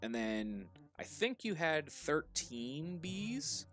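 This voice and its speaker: A man with a New Jersey and Pittsburgh accent, casually coaching viewers through a game on a livestream, relaxed and instructive. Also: a little high, takes it slowly, faint music behind. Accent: New Jersey and Pittsburgh blend. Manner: relaxed, instructive, with frequent restarts and fillers. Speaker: streamer guiding viewers. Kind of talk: casual live game commentary.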